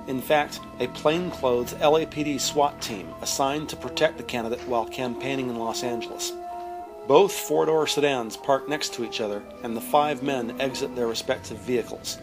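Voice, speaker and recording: A man narrates an audio drama in scripted, descriptive prose. His voice is medium in pitch, and music plays clearly behind it. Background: prominent music.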